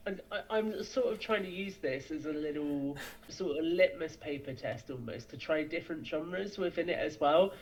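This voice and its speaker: A man's voice: on the high side, normal speed, few pauses, poor audio. A man giving conversational interview answers as a podcast guest, explaining things with enthusiasm. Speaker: podcast guest, audio drama creator.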